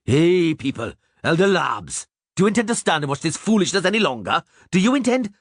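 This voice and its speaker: A man voicing a blunt, indignant male dwarf in a fantasy audiobook, shouting commandingly. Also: fairly high pitch, big pitch swings, a little fast, no echo, studio quality, pitched above the performer's own voice. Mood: angry.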